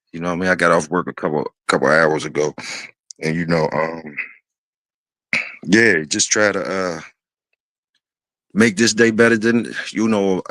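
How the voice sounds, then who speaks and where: A man in his fifties hosting a casual talk, speaking in a laid-back, rambling way with many fillers and restarts, often clearing his throat. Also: low-pitched, bright, forceful tone, no room sound, low-quality recording. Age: 50s. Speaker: host.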